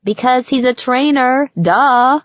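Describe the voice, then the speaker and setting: A woman's voice: high-pitched, slow pace, no echo, dull audio, voice pushed up. A woman voicing a frantic, bossy, dramatic bride-to-be in an audiobook, emphatic and slangy. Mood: earnest, teasing. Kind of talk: audiobook character dialogue.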